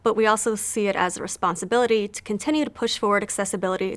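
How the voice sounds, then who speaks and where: A woman producer answers interview questions in a clear, organized way. She explains her work step by step. Her pitch sits in a middle range. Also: a bit bright. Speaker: game producer.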